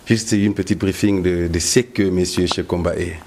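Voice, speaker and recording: A man with a Congolese accent hosting a political interview, putting drawn-out rhetorical questions. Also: low voice, fairly even intonation, a little mellow, slight background noise. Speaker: host.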